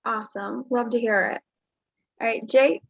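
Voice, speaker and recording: A woman's voice: a little high, fairly even intonation, normal speed, gravelly. A woman hosting casual introductions on a group call. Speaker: meeting host. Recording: muffled video-call audio.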